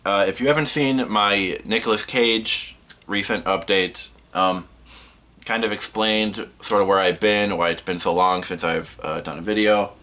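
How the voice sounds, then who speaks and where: Low-voiced man rambling through a casual vlog. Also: fairly even intonation, pushed and bright. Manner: rambling, halting, self-interrupting. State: getting over a cold, slightly sniffly. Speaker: host of a movie collection video channel.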